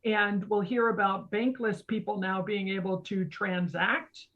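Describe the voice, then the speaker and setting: A woman's voice: mid-range voice, steady tone, measured pace, fairly bright tone. Woman co-host on dull, band-limited audio. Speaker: podcast co-host. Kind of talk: conversational explanation.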